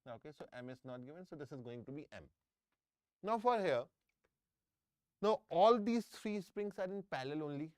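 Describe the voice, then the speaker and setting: Man, Indian English accent, higher voice, smooth and resonant, dry close mic, checks in with students. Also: lively intonation, moderate pace, long pauses, no echo. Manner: checks in with students often, repeats phrases. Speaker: engineering exam tutor. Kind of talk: live online lecture. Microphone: close microphone.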